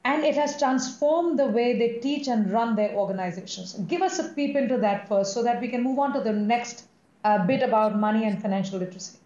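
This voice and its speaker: A woman's voice: fairly high pitch, fairly quick, warm tone. A woman hosting an interview over a video call, effusive and repeating words as she searches for them. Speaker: host. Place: indoors. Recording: echoey, slightly muffled call audio.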